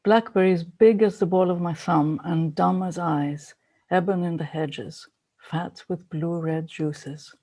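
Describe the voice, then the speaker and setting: Female poetry reader, very soft dark tone, markedly slow recitation. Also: fairly low pitch, steady tone.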